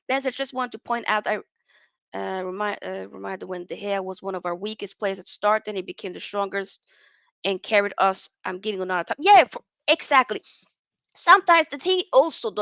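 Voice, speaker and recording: A young woman from Norway hosts a live football chat stream, reading viewer comments and reacting in a loose, slangy way with plenty of restarts. Her voice sits at a middling pitch and is recorded close and dry, with no room sound. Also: vocal fry, no room sound. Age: 20s. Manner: animated, chatty, many restarts and slang. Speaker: football channel host.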